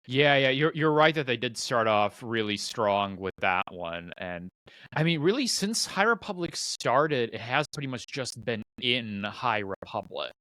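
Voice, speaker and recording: Guy co-host just chatting, medium pitch, bright voice, agreeable and laid back. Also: animated, a little slow, no room sound. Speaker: podcast co-host. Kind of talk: casual podcast chat.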